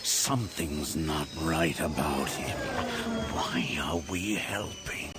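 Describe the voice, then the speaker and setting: Extremely deep, suspicious alien. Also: male voice, slow pace, noisy background. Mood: suspicious grumbling. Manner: wary, grumbling. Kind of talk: audio drama acting.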